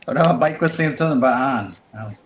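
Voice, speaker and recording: A man's voice: medium pitch, fairly quick. A man chatting casually as a podcast panelist, telling an anecdote. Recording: dull, roomy, faintly noisy group recording.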